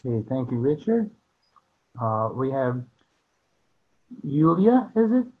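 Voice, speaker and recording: Man, group facilitator, slow, lively pitch, tinny phone-like sound. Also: breathy edge. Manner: slow, introduces each speaker.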